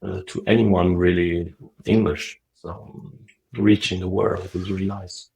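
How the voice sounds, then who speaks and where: A middle-aged man from Moldova, a native Russian speaker, talking softly and haltingly with many fillers as a guest on a video call. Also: deep voice, fairly even intonation, gravelly, rough recording. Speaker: guest, Zen teacher. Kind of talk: relaxed interview talk. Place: dim wood-walled room.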